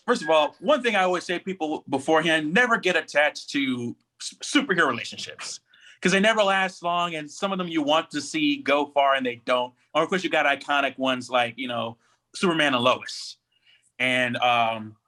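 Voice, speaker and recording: A man's voice: a little high, lively intonation, moderate pace, pushed and bright. A man chatting casually as a podcast co-host, giving his commentary. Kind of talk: casual chat, pop culture commentary.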